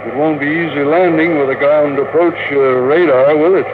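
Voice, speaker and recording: An actor playing a frail, injured, stubborn old man in a 1950s radio drama, halting and wistful. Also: fairly high pitch, steady tone, close to an everyday voice. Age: older. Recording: tinny, very noisy old radio recording.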